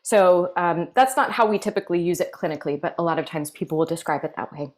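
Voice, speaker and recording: Measured woman explaining vocal problems. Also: on the low side, steady tone. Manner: measured, explanatory, with many fillers. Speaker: voice clinical specialist. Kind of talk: interview answers.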